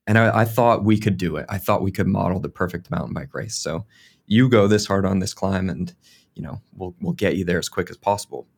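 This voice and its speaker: An American man in his thirties, a sports scientist answering interview questions in a relaxed, casual way with frequent fillers. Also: low-pitched, fairly quick, creaky, husky. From United States. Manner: casual, uses many fillers like "like" and "kind of".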